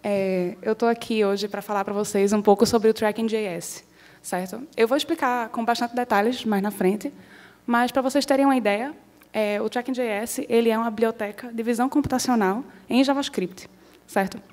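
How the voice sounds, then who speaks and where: A woman with a Northeastern Brazilian accent giving a friendly, chatty conference talk as a software engineer, often checking in with her audience. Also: fairly high pitch, steady tone, moderate pace. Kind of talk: conference presentation. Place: conference stage before an audience. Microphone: handheld or stage mic.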